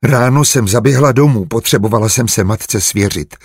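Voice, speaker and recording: A man reading an audiobook, voicing a naive, earnest young servant woman who talks in a hurried, flustered, pleading way. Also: mid-range voice, breathy edge, no echo, studio quality. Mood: hurried, confiding. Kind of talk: audiobook character voice.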